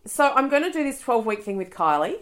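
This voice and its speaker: A woman's voice: a little high, very animated, fast, fairly bright tone. A middle-aged woman, co-hosting a podcast in blunt, playful chat with plenty of swearing. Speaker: podcast co-host. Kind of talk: casual chat.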